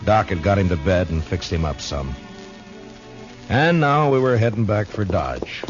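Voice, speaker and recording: An actor playing a tough, weary American US marshal in a 1950s radio western. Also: male voice, deep voice, lively intonation, measured pace. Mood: grim, weary. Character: tough, weary US marshal narrating his frontier tale. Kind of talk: 1950s radio western acting, hard-boiled narration. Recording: dull vintage radio recording with music.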